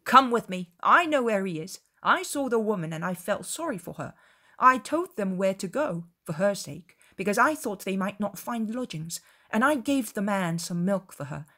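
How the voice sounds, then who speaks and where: Woman reading as an eager, kindly shepherd, slightly husky. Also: mid-range voice, animated, normal speed, bright, forceful tone. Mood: eager, sympathetic. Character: kindly, compassionate male shepherd bringing milk and gifts.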